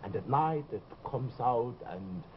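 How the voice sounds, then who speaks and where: A man's voice: medium pitch, expressive, takes it slowly. Formal middle-aged male doctor, hesitant, lilting delivery, 1950s TV drama, noisy soundtrack. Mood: grave, hesitant. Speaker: actor. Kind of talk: 1950s live television drama acting. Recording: dull, noisy old TV soundtrack.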